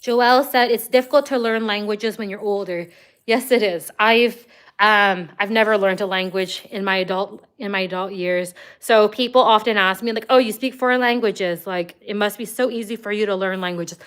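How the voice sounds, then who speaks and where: A woman with a smooth, clear voice, talking casually on a livestream. Her pitch sits in an ordinary middle range. She chats loosely with viewers and fills her sentences with "like" and "um.". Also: moderate pace, a bit bright, rough recording. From Canada. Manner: chatty, frequent "like" and "um" fillers. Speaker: livestream host answering viewer comments.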